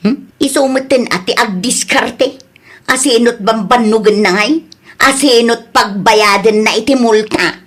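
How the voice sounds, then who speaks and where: A woman's voice: on the high side, a little slow. A woman acting an exasperated, scolding wife in an audio drama, with a nagging, questioning manner. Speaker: drama actor.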